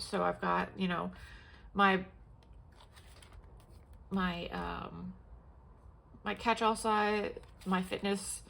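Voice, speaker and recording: A woman's voice: lowish voice, creaky, fairly bright tone. A young adult woman chatting casually as she shows her planners, congested and sniffling, rambling with lots of fillers and restarts. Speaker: planner vlogger. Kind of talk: casual show-and-tell chat.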